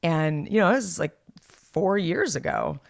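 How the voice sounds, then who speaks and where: A woman in her thirties co-hosting a podcast, a little hoarse, chatting casually and rambling with lots of fillers and restarts. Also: fairly low pitch, lively intonation, a little slow. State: slightly raspy, hoarse voice. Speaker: podcast co-host. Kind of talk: casual chat.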